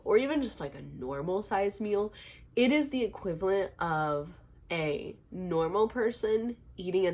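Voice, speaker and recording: A woman's voice: on the low side, slightly rough. A young woman with a stuffy head cold, chatting casually to camera with frequent ums and small laughs. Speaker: vlogger, teacher and fitness instructor.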